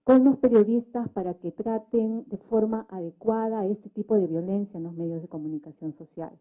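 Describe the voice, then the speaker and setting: A woman's voice: medium pitch, moderate pace. A woman with a Peruvian accent speaking at a public debate, explaining methodically and often adding tag questions. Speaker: government social communicator, panel speaker. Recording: thin phone-like sound.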